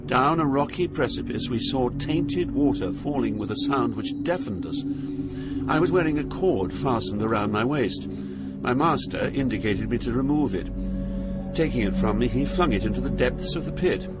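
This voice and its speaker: A man's voice: medium pitch, expressive, moderate pace, over loud music, natural voice. An actor playing an awed, fearful poet pilgrim, narrating his journey through hell in a solemn, theatrical manner. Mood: solemn dread. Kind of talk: dramatized literary narration.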